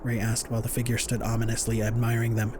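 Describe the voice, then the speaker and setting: A man's voice: medium pitch, monotone, fast talker, noisy background. A man delivering dramatic scripted narration as the narrator of an audio drama. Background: dramatic score.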